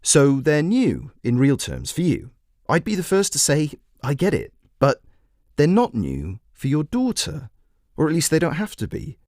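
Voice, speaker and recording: A man voicing a brisk female radio phone-in host who questions and persuades in a measured way. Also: fairly high pitch, wildly swooping pitch, a little mellow, dry recording, studio quality, pitched above the performer's own voice, heavily acted. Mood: persuasive. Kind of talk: talk radio presenting.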